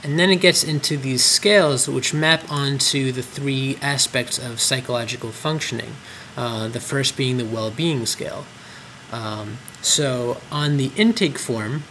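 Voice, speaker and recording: Male trainer, mid-pitched voice, relaxed explanatory screen tutorial, faint hum. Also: poor audio. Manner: explanatory, frequent ums and uhs. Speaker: clinic trainer. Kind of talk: screen-recorded software tutorial.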